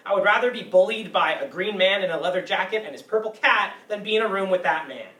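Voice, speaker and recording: A man's voice: high-pitched, fast, pushed and bright. An actor playing a bitter, neurotic man with an old grudge in a staged play reading, wry and hesitant. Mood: angry, bitter. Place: meeting room used for a staged reading. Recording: roomy, distant mic, faint noise.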